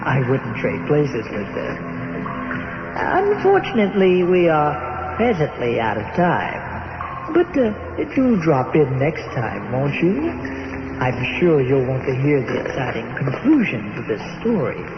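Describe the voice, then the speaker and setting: A man's voice: mid-range voice, wildly swooping pitch, measured pace, roomy sound, big-room sound, highs cut off, over music. Man as a sly, theatrical horror-show host. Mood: mock-sinister. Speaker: storyteller host. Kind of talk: theatrical horror-anthology narration.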